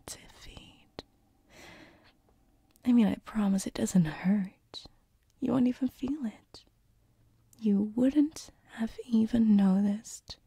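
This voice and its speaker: A woman playing a gentle, teasing sleep paralysis demon in a whispered ASMR roleplay, close on a binaural microphone, with a breathy, hesitant delivery. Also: mid-range voice, no echo, heavily acted. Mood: coaxing, reassuring. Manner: slow, soothing, hesitant, teasing.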